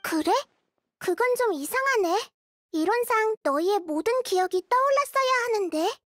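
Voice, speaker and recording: A woman voicing a small, wise, gentle girl deity in a video game, speaking in a calm, thoughtful, explanatory way. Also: very high-pitched, normal speed, dry recording.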